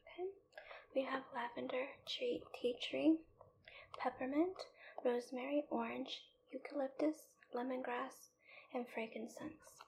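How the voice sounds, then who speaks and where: A woman delivers gentle ASMR instruction in a breathy, whispery voice. It sits high and crackles with fry, pausing hesitantly between thoughts. Noticeable noise runs behind her. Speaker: ASMR video creator. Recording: clearly audible background noise.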